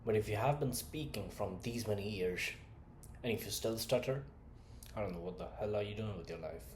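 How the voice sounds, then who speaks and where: Blunt young coach from India with English as a later language; low-pitched, lectures to camera in an echoey room. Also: male voice, brisk, darkish tone, a little hiss or hum. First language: not English; English is his third language. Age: 20s. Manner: blunt, lecturing, rhetorical questions. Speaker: communication skills coach. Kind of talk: direct-to-camera motivational talk.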